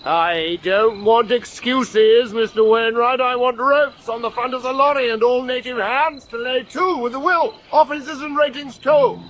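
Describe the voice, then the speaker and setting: An actor playing an imperious, impatient naval commander in a period radio drama, brusque and given to clipped orders. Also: male voice, extremely high pitch, unhurried, few pauses, fairly bright tone, slight background noise. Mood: angry, commanding.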